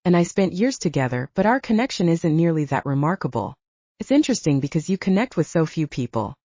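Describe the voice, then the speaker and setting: A woman reading the part of a calm, measured middle-aged man, a father and leader, in an audiobook, gently serious in manner. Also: low voice, no echo, exaggerated voice. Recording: slightly dull lo-fi audio.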